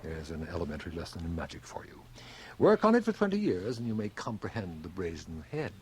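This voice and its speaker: An actor playing a suave, self-assured stage magician in a radio drama, speaking formally and precisely with measured theatrical poise. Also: male voice, deep voice, very animated, a little mellow, husky, full character voice. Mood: knowing, teasing. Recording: 1980 radio drama, hissy cassette transfer.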